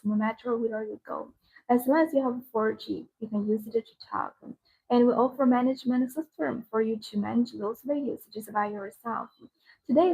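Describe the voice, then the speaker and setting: A woman's voice: a little high, darkish tone, slightly rough, low-quality recording. A woman who speaks English as a second language, presenting products in a live sales demonstration with simple, repetitive phrasing. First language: not English.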